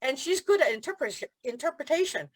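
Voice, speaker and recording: Woman, from Hong Kong, fairly high voice, animated storyteller, video call. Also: fairly quick. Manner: animated storytelling, acts out quoted voices, laughs often. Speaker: feng shui consultant, podcast guest. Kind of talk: casual interview chat.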